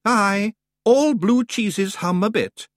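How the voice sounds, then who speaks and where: Bold, high-pitched wee Scottish warrior. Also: male voice, slow pace, no room sound, studio quality. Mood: brisk. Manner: brash, colloquial, encouraging. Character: bold, cheerful, tiny Scottish warrior leader.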